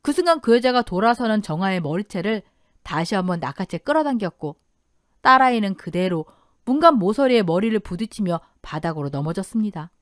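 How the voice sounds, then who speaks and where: A woman's voice: mid-range voice, lively intonation, fairly quick, dry recording, studio quality. A woman narrating a scripted dramatic family story in the first person.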